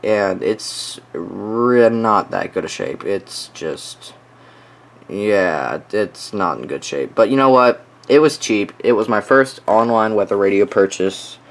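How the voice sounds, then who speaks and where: A young man giving a casual, offhand showcase of his collection, halting and often trailing off. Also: on the low side, expressive. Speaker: collector showing weather radios. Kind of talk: casual product showcase vlog.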